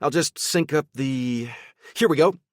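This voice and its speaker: Man voicing a chipper male hacker, animated, rambling, raised pitch. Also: unhurried, no echo, clean studio sound, close to an everyday voice.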